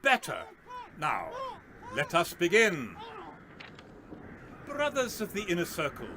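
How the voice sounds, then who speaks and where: An actor in a radio drama playing a fanatical, sinister cult leader with a theatrical, unctuous, preaching manner. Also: male voice, fairly high pitch, noisy background. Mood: commanding.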